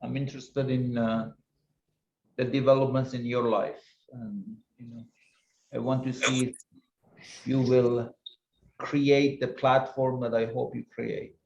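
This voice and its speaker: A man in casual group chat on a video call, talking slowly and haltingly in a reflective way. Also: medium pitch, level tone, creaky, a little mellow, a little hiss or hum. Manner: slow, halting, reflective, trailing off. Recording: echoey, muffled video call audio.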